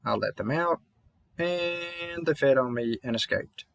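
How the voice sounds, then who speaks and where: A man's voice: fairly high pitch, animated, measured pace, creaky, a bit bright, no room sound, heavily acted. A man playing an experienced, kindly vampire hunter mentor in an intimate audio roleplay, rambling and slightly mumbling in a Southern accent. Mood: wry, reminiscing.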